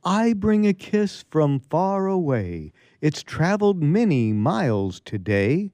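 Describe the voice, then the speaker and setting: A man with a slightly high, very expressive voice takes his time over short verse and banter. He sounds droll and mannered, recorded dry and close on a radio show. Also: slow pace, no room sound. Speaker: control-room colleague and poem reader on a radio show.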